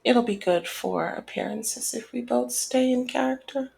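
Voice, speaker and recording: A woman's voice: fairly high pitch, measured pace, creaky, darkish tone. A woman playing a flirty, commanding female mob boss in an ASMR roleplay, talking in a teasing, coy and controlled way. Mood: teasing, sly. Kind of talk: ASMR roleplay, scripted one-sided dialogue.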